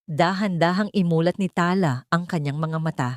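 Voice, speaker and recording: Woman audiobook narrator, lowish voice, dramatic romance storytelling, dry studio-like sound. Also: normal speed, no room sound.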